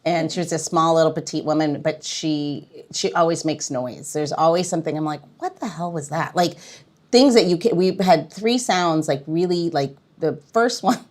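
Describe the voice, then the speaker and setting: A woman's voice: sits low, normal speed, darkish tone. A woman hosting a casual podcast chat, telling a story and laughing often.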